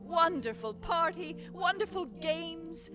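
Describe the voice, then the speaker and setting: A woman narrates a scripted story dramatically. Her voice is high and unhurried with lively swings, and music plays clearly behind her.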